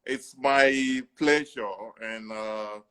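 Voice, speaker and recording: A man's voice: medium pitch, very slow, smooth. A man hosting a live video call interview, speaking hesitantly with frequent fillers. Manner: hesitant, many "uh" fillers, warm praise. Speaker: host.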